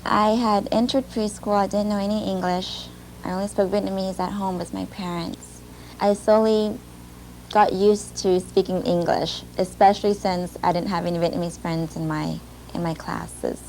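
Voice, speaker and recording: A young woman recounting her own story in an older video clip, speaking softly and reflectively with some hesitation. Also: medium pitch, steady tone, moderate pace, a little hiss or hum, low-quality recording. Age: young adult. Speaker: former student telling her story in a video. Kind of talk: personal testimony. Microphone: archival video clip played during a webinar.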